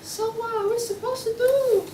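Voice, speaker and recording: A woman's voice: extremely high pitch, steady tone, moderate pace. A woman voicing a timid, easily swayed pig who agrees with everyone in a student play reading. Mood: timid, eager. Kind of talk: student table read of a comic play. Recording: echoey room, distant mic, faint noise.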